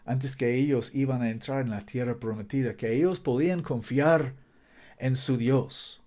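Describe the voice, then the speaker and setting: A man preaching a sermon. He reads scripture slowly and deliberately, stresses key words with emphasis, and sometimes stumbles over his Spanish. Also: medium pitch, warm tone. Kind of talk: sermon with Bible reading.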